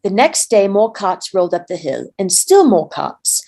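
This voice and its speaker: Mellow woman narrating a storybook. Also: medium pitch, very animated, normal speed. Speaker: volunteer narrator.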